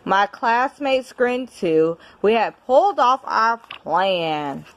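A woman reading a children's picture book aloud at home in an expressive, deliberate storybook manner. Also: mid-range voice. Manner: measured, deliberate storybook delivery. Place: indoors at home.

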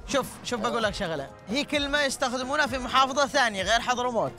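A man's voice: very high-pitched, animated, rapid-fire, pushed and bright, faint music behind. A man with a Yemeni accent hosting a street quiz, playfully teasing passers-by into guessing. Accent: Yemeni Arabic. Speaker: host. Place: outdoor street in a town. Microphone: handheld mic.

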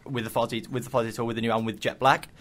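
A man's voice: medium pitch, little pitch movement, racing, a bit bright. A man hosting a student radio interview in a studio, eager and often tripping over his words.

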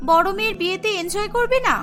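A woman's voice: extremely high pitch, fast, music in the background. A woman voicing an anxious, pampered young bride-to-be in a cartoon story. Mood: fretful, concerned.

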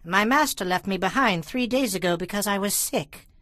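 A wary captive slave explains himself in an audio Bible drama. The voice is high for a man and recorded dry and close. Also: expressive, normal speed, bright, forceful tone, no room sound. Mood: weary, frank.

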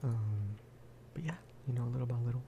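A man giving a relaxed, casual ASMR gameplay commentary in a soft, breathy whisper, close to the microphone. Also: medium pitch, fairly quick, background noise. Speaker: video game streamer and host.

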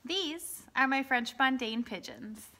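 A woman's voice: high voice, expressive, slow, bright, forceful tone. A woman in her thirties chatting casually in a barn pigeon coop, talking into a phone held at arm's length. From Wisconsin, United States. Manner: chatty, lots of ums and likes. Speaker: hobby farmer vlogger. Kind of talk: casual farm vlog.

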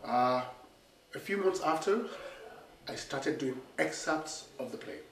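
Guy with a stuffy nose from a cold, chatting to the camera on a little theatre stage, kinda high voice, echoey and far from the mic. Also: a little slow. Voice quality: nasal. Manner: animated storyteller, gestures with his hands. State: has a cold. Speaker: playwright and director. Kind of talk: direct-to-camera talk about his play. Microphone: camera mic from a few metres.

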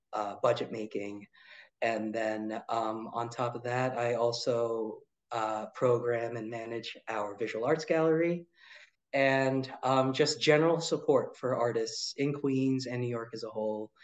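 A man in his thirties, an arts director, answering interview questions in a relaxed way with many ums and uhs. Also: mid-range voice. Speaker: arts nonprofit director, guest.

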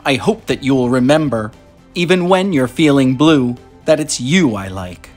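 An American man in his thirties hosting a children's read-aloud show, speaking to young viewers in clear, simple phrases as he presents and reads from a script. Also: mid-range voice, animated, unhurried, a little mellow, roomy sound, soft background music. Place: small furnished room indoors.

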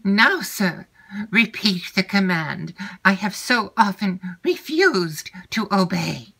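A woman's voice: mid-range voice, animated, slow pace, vocal fry, fairly bright tone, husky, voice pushed up, full character voice. A woman voicing a proud, quarrelsome noblewoman who speaks haughtily and defiantly.

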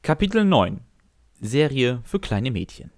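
A man's voice: medium pitch, big pitch swings, unhurried. A young man reading a first-person fan fiction story as scripted narration with acted dialogue. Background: background music.

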